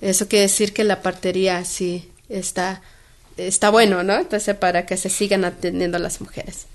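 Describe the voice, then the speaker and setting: A woman midwife relays what a colleague has said, speaking in a very flat, level tone. She hesitates constantly, reaching for fillers and restarting her phrases. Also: mid-range voice, moderate pace. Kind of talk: interview answer.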